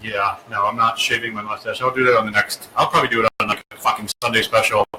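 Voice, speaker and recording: A man's voice: fairly low pitch, fairly even intonation, quick pace. Profane man hosting a podcast. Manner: profane, teasing. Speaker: podcast host. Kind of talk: casual chat. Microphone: desk mic. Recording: echoey room with loud background noise.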